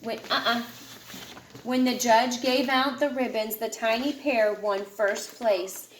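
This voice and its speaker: A woman with a fairly high voice reads a children's picture book aloud. Her delivery is expressive, as if for a young listener. The room echoes around her and there is some background noise. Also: slow pace. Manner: expressive, puts feeling into the dialogue. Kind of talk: read-aloud storytelling to a child.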